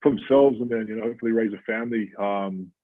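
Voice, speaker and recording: A thirty-year-old Australian man, a rugby player, talking as an interview guest in a halting way with plenty of fillers. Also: lowish voice. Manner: halting, filler-heavy storytelling. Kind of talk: casual interview chat. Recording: tinny phone-like line.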